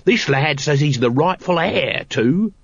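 A man's voice: on the high side, lively intonation, measured pace, gravelly, slightly rough, no echo, dull audio, voice pushed up, exaggerated voice. A man voicing a wheedling, whiny male street sausage seller in a storybook reading, with sing-song sales patter. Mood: hawking.

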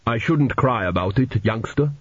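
An awkward young prince, played by a man speaks with mild, polite hesitancy. His storybook voice is husky with lively pitch, on a slightly muffled recording. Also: normal speed, dry recording. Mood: gruff. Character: awkward, mild, embarrassed teenage boy prince.

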